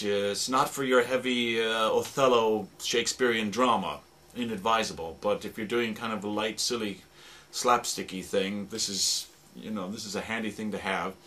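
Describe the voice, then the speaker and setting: A man giving an informal, halting and rambling demonstration of sound-effect instruments, as a radio drama producer. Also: on the low side, bright, forceful tone. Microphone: stereo microphone. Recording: noisy recording with stereo microphone.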